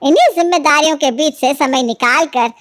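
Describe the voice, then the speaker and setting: A woman's voice: high voice, a little fast, close to an everyday voice. A woman voicing a stingy, scheming older mother-in-law in a cartoon family story, scolding and gossiping indignantly. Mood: coaxing.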